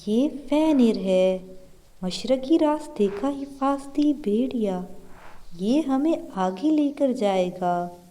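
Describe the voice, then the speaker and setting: Slow, solemn guardian fairy voiced by a woman. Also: a little high, lively intonation, mellow. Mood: earnest, reverent. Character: solemn female guardian fairy made of light.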